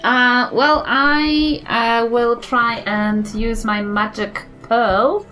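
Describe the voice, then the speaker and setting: Woman, slow pace, hesitant, thinking aloud, casual game-table chat, faint music behind. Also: a little high, steady tone, bright, forceful tone, rough recording. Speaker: player in a tabletop game podcast.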